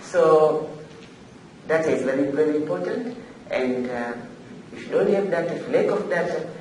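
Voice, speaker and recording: A Tanzanian woman speaks at a forum, some way from the microphone in a very reverberant room with faint noise. She talks semi-formally and without a script, pausing and repeating herself to drive points home. Her delivery is halting but emphatic. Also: medium pitch. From Zanzibar, Tanzania. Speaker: forum panelist, African regional official. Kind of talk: semi-formal extemporaneous panel remarks. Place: echoey indoor forum room. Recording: echoey room, distant mic, faint noise.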